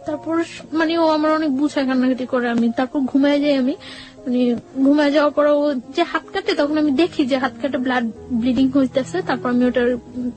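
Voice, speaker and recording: Young woman, Bangladeshi accent, high pitch, halting personal storytelling, repeats and corrects herself, background music. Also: steady tone, a little mellow, rough recording. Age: young adult. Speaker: guest telling own life story.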